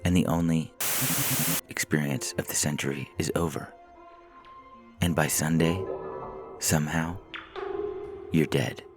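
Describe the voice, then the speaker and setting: A man's voice: very deep voice, fairly even intonation, a little slow, pauses often. A man reading a scripted podcast announcement as the show's announcer. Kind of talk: scripted announcement over music. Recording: voice over prominent music. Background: music.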